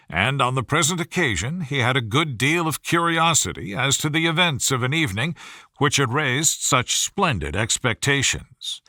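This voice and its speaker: Animated male audiobook narrator, bright voice. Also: medium pitch, no room sound, studio quality. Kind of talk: scripted storytelling narration of classic novel.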